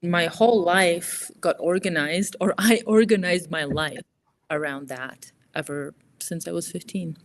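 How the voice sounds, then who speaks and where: A woman, a climber and author telling her story as an interview guest, reflective and soft-spoken, trailing off at the ends of phrases. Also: on the low side, measured pace. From Poland. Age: 40s.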